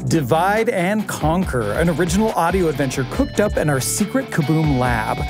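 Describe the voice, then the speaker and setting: A man's voice: on the high side, very animated, normal speed, over music. A man hosting a podcast in a casual, enthusiastic, conversational way. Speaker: podcast host.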